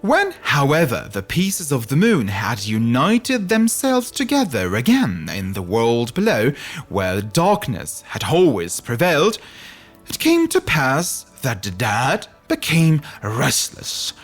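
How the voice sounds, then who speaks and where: Slow, highly animated male storyteller. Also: faint music behind. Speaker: podcast host and narrator. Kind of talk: scripted fairy tale narration.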